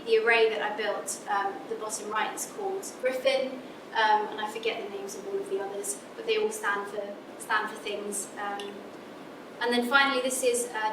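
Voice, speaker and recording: A young adult woman with a southern English accent, an academic giving a friendly careers talk to students, with lots of ums and restarts. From Scotland. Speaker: guest speaker, academic physicist. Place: lecture room. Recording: echoey lecture room from far off, background rumble.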